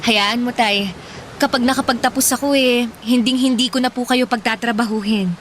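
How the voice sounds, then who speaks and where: A woman's voice: on the high side, fairly even intonation, moderate pace, close to an everyday voice. A woman playing a devoted young daughter in a Filipino radio drama, earnest and respectful, with a Filipino accent. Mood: tender, worried. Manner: earnest, respectful, fussing over her father. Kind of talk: radio drama acting in Tagalog. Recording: noisy ambience behind the voice.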